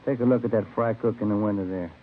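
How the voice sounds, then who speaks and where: An American actor playing an easygoing police detective, the sergeant's partner, conversational and matter-of-fact in a 1950s radio drama. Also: male voice, lowish voice, fairly even intonation, quick pace, lightly acted. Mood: casual. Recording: noisy, muffled old radio broadcast.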